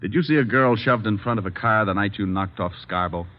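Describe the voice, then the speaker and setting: An actor playing a wry, flippant but tough private detective in an old-time radio drama. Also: male voice, fairly low pitch, a little fast, natural voice. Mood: businesslike, steady. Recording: muffled old radio broadcast recording.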